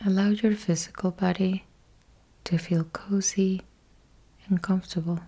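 A woman leads a guided sleep meditation as a yoga nidra instructor, speaking in a soothing hush with short measured phrases and soft, breathy endings. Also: mid-range voice, fairly even intonation.

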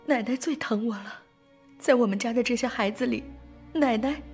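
A woman playing a tearful, evasive young wife in an audio story, speaking softly and wearily in brief, subdued replies. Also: fairly high pitch, normal speed, soft background music, pitched above the performer's own voice, lightly acted. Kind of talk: audio horror story dramatization.

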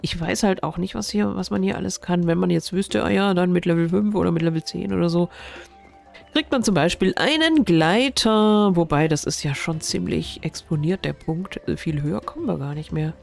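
A woman's voice: on the low side, normal speed, gravelly, a little mellow, faint music behind. Stuffy-nosed woman thinking aloud over a game. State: stuffy nose from a cold. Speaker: gaming streamer. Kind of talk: casual game commentary.

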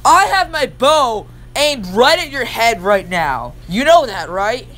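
Man acting as young hero, bright voice, unhurried, casual, exclamatory, Minecraft roleplay, faint noise. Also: very high voice, big pitch swings, heavily acted. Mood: bold. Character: young male hero leading a zombie-fighting mission. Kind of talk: amateur Minecraft roleplay movie.

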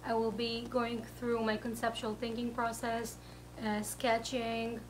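A woman in her thirties with a fairly high voice introduces her workshop, hesitating over fillers. There is a faint hum behind her in a shelved studio. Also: monotone, measured pace, rough recording. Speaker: artist. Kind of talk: promotional self-introduction.

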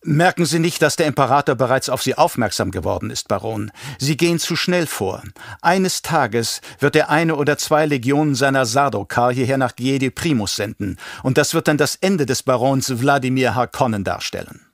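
A man's voice: mid-range voice, big pitch swings, a little fast, gravelly, hardly any pauses, slightly rough, no room sound, clean studio sound, heavily acted. A man playing a sardonic, resentful advisor to a villain in an audiobook, snide, clipped and muttering. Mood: mocking warning. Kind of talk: audiobook character acting.

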